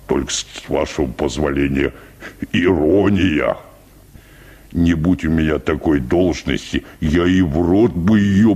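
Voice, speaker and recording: A meek, hard-drinking choir bass in a comic radio story. The voice is very deep and husky, dragging slowly. He rambles deferentially, padding everything with polite, hesitant phrases. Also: darkish tone, heavily acted. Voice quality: deep, hoarse, gravelly bass. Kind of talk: radio play acting of a comic short story.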